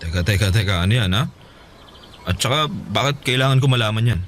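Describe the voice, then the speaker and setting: A man's voice: on the low side, expressive, brisk, a bit bright, a little hiss or hum. A man playing a cheeky, carefree young older brother in a radio drama, joking and casual. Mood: teasing. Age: young adult. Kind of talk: Filipino radio drama acting.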